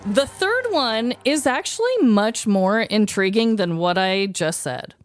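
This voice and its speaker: A woman co-hosting a casual podcast, chatting in an animated, gossipy, conversational way. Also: medium pitch, measured pace, faint music behind. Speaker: podcast co-host.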